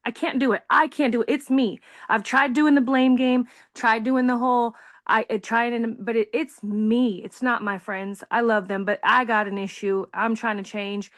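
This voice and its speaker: A woman from California sharing candidly on a video support call, earnest and halting. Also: on the high side, steady tone, no echo. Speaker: support group member. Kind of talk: casual group chat.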